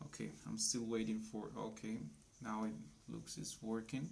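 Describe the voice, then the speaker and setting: A man's voice: lowish voice, level tone, vocal fry, darkish tone. A man in his thirties from Colombia, teaching a live online English class for beginners, speaking simply and greeting viewers by name. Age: 30s. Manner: slow, simple speech for beginners.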